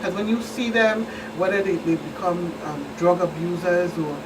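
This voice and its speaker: A woman's voice: fairly low pitch, fairly even intonation, normal speed. A woman with a Virgin Islands accent speaking as a police expert on a talk show, explaining things plainly and with emphatic repetition. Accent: Virgin Islands Caribbean. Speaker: police officer, expert guest. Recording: distant mic, clearly noisy room with hum.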